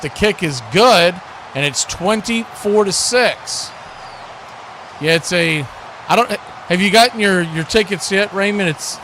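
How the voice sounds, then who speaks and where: A man hosting a live football watch party, switching between play-by-play and casual chat. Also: on the high side. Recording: noisy feed with steady background noise.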